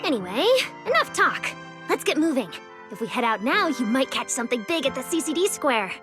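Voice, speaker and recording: High, lively young guide. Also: female voice, fairly quick. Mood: eager. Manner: chatty, explanatory. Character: lively young female local guide in a sci-fi city. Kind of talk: video game story dialogue. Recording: game music behind the voice. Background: game music.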